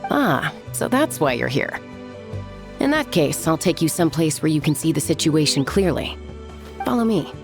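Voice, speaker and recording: A woman's voice: low voice, big pitch swings, normal speed, gravelly, over music, exaggerated voice. A woman voicing a laid-back, self-assured young female warrior with a casual, easygoing manner in a video game. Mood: amused, relaxed.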